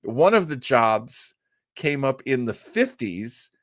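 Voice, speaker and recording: A man's voice: medium pitch, very animated, slow pace, no room sound, studio quality. A man hosting a casual live stream about old radio, rambling and often breaking off to search for his words. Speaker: online show host.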